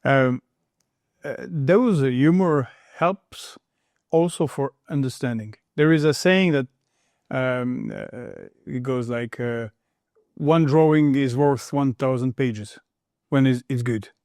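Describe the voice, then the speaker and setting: A man's voice: mid-range voice, sing-song, takes it slowly, a little mellow, dry recording. Interviewer man, dry sound. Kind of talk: interview questions.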